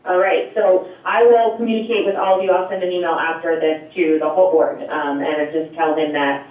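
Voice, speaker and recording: Loud, chatty woman on a tinny line. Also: low voice, steady tone, brisk. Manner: chatty, many fillers, light laughs. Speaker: program presenter at a municipal board meeting. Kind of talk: explaining and taking questions. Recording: echoey, distant, tinny phone-like line.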